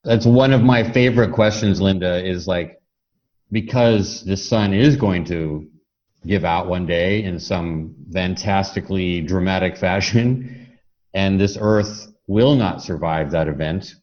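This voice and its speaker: A man's voice: sits low, darkish tone. A man speaking as a podcast interviewer in a thoughtful, conversational style. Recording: dull lo-fi audio.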